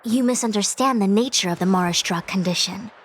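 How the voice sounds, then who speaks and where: A woman playing a grave, composed diviner official in a video game, speaking in a measured, formal, authoritative way. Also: mid-range voice, slight background noise, lightly acted. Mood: grave, explaining. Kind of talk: scripted video game cutscene acting.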